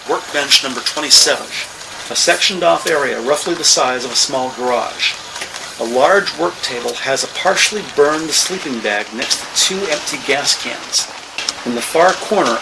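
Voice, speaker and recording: An actor narrating a police procedural audio drama as a measured, factual male storyteller. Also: medium pitch, noisy background, natural voice.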